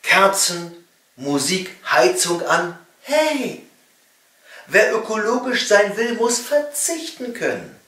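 A middle-aged cabaret man from East Germany delivers a satirical monologue in a fairly high voice. He lands lines with wry emphasis and pauses. The sound is roomy and picked up from some distance away in a small room. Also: sing-song, bright, forceful tone. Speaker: cabaret comedian and author. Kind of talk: satirical comedy monologue read from a text. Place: small home study, indoors. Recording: roomy echo, distant microphone.

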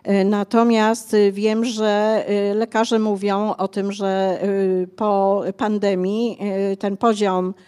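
Older female author, mellow and unhurried, fills pauses often while explaining. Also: mid-range voice, little pitch movement, clear, resonant tone. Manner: hesitant, many fillers, explanatory. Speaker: author guest. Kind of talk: conversational interview. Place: bookshop cafe stage, indoors. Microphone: handheld mic.

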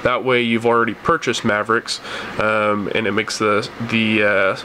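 A man's voice: fairly low pitch, moderate pace. A man hosting a tech tutorial, explaining steps casually as he goes. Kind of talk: casual step-by-step screen tutorial. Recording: noisy room with steady hum.

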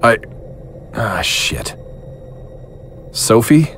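A man acting in an audio drama as a bewildered, lovesick man, speaking earnestly and haltingly. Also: fairly low pitch, very animated, fairly bright tone, soft background music, heavily acted. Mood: uneasy. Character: bewildered, lovesick, weary man pleading with his beloved.

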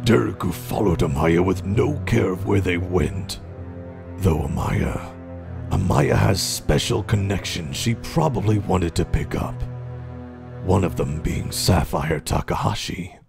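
A low, heavily creaky villain lord from an anime audio drama played by a man. He speaks slowly and coldly, full of scorn and menace, with music playing behind him. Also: exaggerated voice. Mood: cold, scornful. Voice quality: gravelly.

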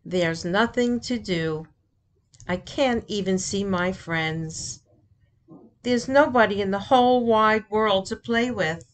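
Woman reading as an imaginative little girl, slow-paced. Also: mid-range voice, natural voice. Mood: bored. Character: young girl, imaginative, eager to play pretend.

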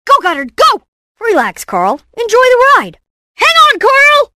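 A brainy, excitable boy inventor from a cartoon game. The voice is bright and forceful, leaping around in pitch, yet takes its time over each theatrical, cocky exclamation. The sound is close and dry. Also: unhurried, dry recording, full character voice. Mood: cheerful. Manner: theatrical, self-assured, punchy delivery. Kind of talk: animated game voice clips, short exclamations and catchphrases.

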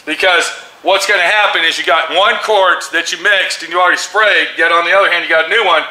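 A middle-aged man with a sharp, bright voice explains spray painting step by step in a plain, no-nonsense way. The room is small and echoey, with a faint hum behind him. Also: sits high, steady tone. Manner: plain-spoken, instructive, hurried while spraying. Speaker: auto painter demonstrating tools. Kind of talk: hands-on tool demonstration. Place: small paint booth indoors.

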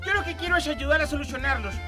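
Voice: high voice, animated, fast. An actor playing a sly, mocking mystery caller in a comic radio drama. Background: loud music.

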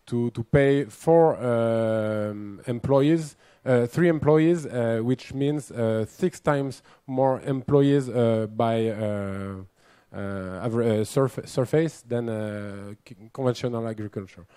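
Halting French-accented man, mellow voice. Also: medium pitch, clear, resonant tone, no echo, clean studio sound. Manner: halting, many fillers and restarts. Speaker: panelist.

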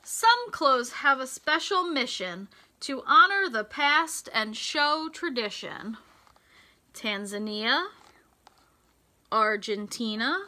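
An American woman reading a picture book aloud to young children, in a deliberate, sing-song teacher's delivery with emphasized words. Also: fairly high pitch, bright, forceful tone. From United States. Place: small indoor room with racks of hanging clothes.

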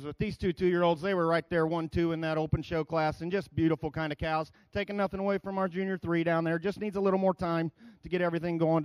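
Fast-talking man judging cattle. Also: fairly high pitch, clean tone, dry recording, poor audio. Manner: plain-spoken, lots of fillers. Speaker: cattle show judge. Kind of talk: judge's reasons, spoken off the cuff. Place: large indoor show arena.

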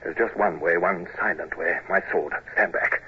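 Actor as deep-voiced, stiff British naval commodore. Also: male voice, steady tone, moderate pace, natural voice. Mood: tense, urgent. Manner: stammering, clipped, formal. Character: proud British naval commodore, awkward, stiff, narrating his adventures. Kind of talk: 1950s radio drama acting and narration. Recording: muffled, noisy 1950s radio recording.

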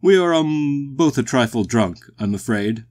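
A man voicing a big, polite, pompous, slightly tipsy gentleman walrus in a storybook, with a booming, hesitant delivery. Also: animated, a little slow, natural voice. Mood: genial, formal. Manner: pompous, polite, hesitant, old-fashioned British phrasing. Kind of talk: acted storybook dialogue.

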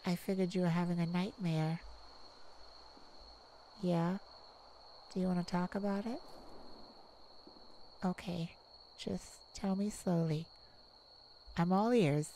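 A woman playing a tenderhearted warrior mage in a soft ASMR romantic roleplay, speaking in a hushed, soothing, halting way. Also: lowish voice, fairly even intonation, a little hiss or hum, poor audio. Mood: gentle reassurance. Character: tenderhearted young warrior mage comforting a werewolf lover. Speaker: audio roleplay performer.

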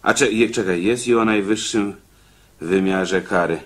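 An actor playing a condemned prisoner in a radio drama, deliberate and easily exasperated, with a husky, halting delivery. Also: male voice, on the low side, a little hiss or hum, lightly acted. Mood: exasperated, relieved. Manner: hesitant, halting, spelling things out.

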